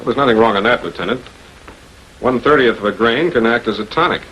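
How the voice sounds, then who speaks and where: Composed 1940s prosecutor played by an actor, noisy soundtrack. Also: male voice, mid-range voice, a bit bright, highs cut off, lightly acted. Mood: firm, composed. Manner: measured, questioning, authoritative. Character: calm, probing male public prosecutor, quietly persistent. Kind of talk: 1940s filmed crime drama acting.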